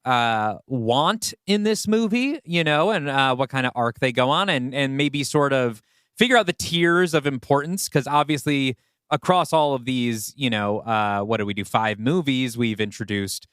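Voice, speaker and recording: A man's voice: mid-range voice, expressive, normal speed, fairly bright tone, clean tone, no echo, studio quality. A man co-hosting a podcast, talking through story plans in a casual, explanatory, conversational way. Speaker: podcast co-host. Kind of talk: casual story-planning discussion.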